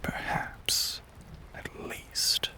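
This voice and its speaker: Man acting a whispering, slow-drawling Southern gentleman vampire. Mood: bitter, wry. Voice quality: breathy, whispery. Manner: slow, genteel, old-fashioned phrasing. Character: lonely, brooding Southern gentleman vampire, tormented and genteel. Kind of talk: intimate audio roleplay acting.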